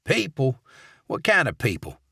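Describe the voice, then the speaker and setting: A man voicing a gruff, grumpy, work-dodging office manager in an audiobook. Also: mid-range voice, huge pitch swings, a little slow, sharp tone, no echo, studio quality, voice pushed up, heavily acted.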